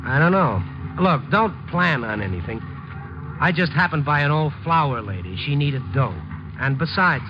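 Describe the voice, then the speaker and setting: A man's voice: fairly high pitch, animated, normal speed, close to an everyday voice. An actor playing a wry, guarded newspaperman with a dry, clipped manner in a 1950s radio drama. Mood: shyly gruff. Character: wry, guarded male Chicago newspaperman narrating his romance. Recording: muffled 1950s radio broadcast, music behind.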